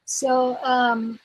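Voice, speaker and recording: A woman from Argentina with an Argentine Spanish accent, a physics professor, introducing herself haltingly on a video call. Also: high voice, a little mellow, breathy edge, rough recording. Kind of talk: casual self-introduction.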